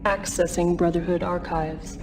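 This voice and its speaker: A woman voices a calm game computer narrating propaganda. She is formal and detached with very little rise or fall, sitting back from the mic beneath loud music. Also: fairly low pitch, normal speed, close to an everyday voice. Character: calm female computer archive narrator of a militant brotherhood. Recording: distant voice under loud music.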